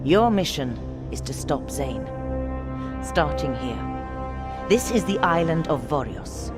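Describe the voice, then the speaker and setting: An actress voicing a stern, middle-aged resistance leader in a video game, grave and authoritative. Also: female voice, low voice, very animated, slow, loud music behind the voice, exaggerated voice. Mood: grave, briefing. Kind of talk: game cutscene briefing.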